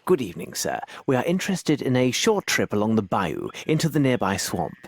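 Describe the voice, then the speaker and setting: An actor playing a shrewd English gentleman detective in a video game, with a British accent and precise, courteous, commanding speech. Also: mid-range voice, wildly swooping pitch, a little fast, gravelly, slightly rough, full character voice. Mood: polite, insistent. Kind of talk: video game cutscene acting.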